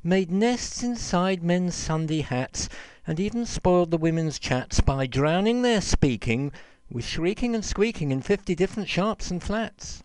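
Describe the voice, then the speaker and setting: A man reading a children's verse story aloud in an expressive, theatrical and rhythmic way. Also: high-pitched, measured pace, smooth, no room sound, low-quality recording. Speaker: reader, storyteller.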